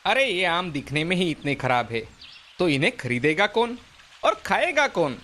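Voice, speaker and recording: Scornful trader, male actor: high, very lively, sharp-edged sneer for a cartoon moral tale. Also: moderate pace, a little hiss or hum, heavily acted. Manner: sneering, exclaiming. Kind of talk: animated moral story dialogue.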